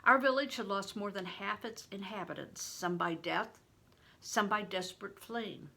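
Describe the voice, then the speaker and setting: An older woman reading a novel aloud in an expressive, deliberate storytelling manner, stressing words for drama. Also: medium pitch, gravelly. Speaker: reader, retired reading teacher. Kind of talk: expressive audiobook-style read-aloud of a novel.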